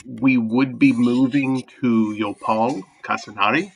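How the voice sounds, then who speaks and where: A man's voice: medium pitch, steady tone, slow, gravelly. An American man speaking plainly and matter-of-factly about future plans. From United States.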